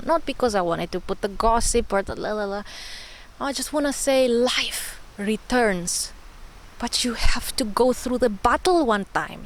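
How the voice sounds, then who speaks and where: A woman's voice: mid-range voice, slight background noise, rough recording. Encouraging woman with repeating affirmations. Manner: warm, encouraging, repeats words, laughs often. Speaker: spiritual channel host. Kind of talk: personal spiritual vlog monologue.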